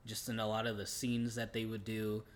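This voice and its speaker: A man's voice: fairly low pitch, completely flat delivery, a little fast, slight background noise. A young man co-hosting a podcast, talking casually about films in informal, slangy language. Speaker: podcast co-host. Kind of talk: casual movie chat.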